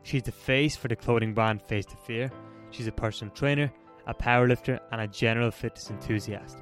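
A man hosting a podcast, reading a steady upbeat introduction and then chatting with his guest. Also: medium pitch, a little fast, soft background music. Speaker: podcast host. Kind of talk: scripted intro, then casual interview.

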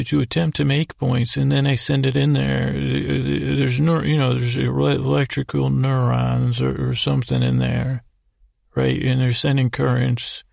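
A man with a heavily creaky voice talks in a narrow, even pitch, recorded dry and close. He hosts a bedtime podcast, meandering and doubling back on himself. His humour is absurd and self-deprecating, delivered in halting, unfinished thoughts. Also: dry recording. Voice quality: creaky, gravelly. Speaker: podcast host. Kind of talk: rambling bedtime monologue.

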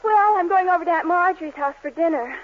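A sweet, high-voiced young girlfriend. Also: quick pace. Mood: sweet patient. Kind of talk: 1940s radio sitcom acting. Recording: dull 1940s radio broadcast recording.

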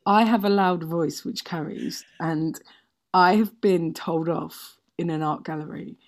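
A woman's voice: on the low side, measured pace. A woman telling casual comic anecdotes as a podcast guest, emphatic, sweary and self-mocking.

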